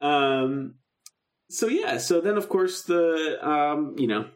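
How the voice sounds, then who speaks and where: A man's voice: a little high, lively intonation, takes it slowly. A man co-hosting a podcast, recapping a story in casual, enthusiastic fan talk. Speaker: podcast co-host. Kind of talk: casual chat, recapping a radio drama.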